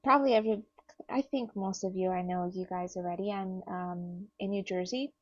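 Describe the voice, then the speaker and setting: A woman from Portugal, joining a webinar over a video call and talking openly and warmly. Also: medium pitch, steady tone, normal speed, dry recording. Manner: open, heartfelt, chatty. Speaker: webinar participant. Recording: dry, dull lo-fi video call audio.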